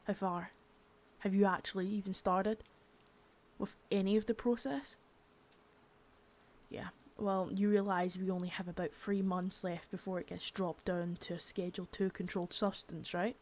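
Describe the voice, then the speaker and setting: A woman's voice: medium pitch, little pitch movement, moderate pace, a little mellow, close to an everyday voice. A woman voicing a stern, foul-mouthed female boss in an ASMR roleplay, harsh and cold, often dropping to a whisper. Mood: scolding.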